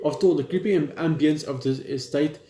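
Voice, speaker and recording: A man's voice: a little high, a little fast, mellow. A young man reads visual novel text aloud as a let's player, informally, with stumbles and casual reactions. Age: young adult. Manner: informal, stumbles while reading. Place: indoor room at home. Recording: roomy echo, clear background noise.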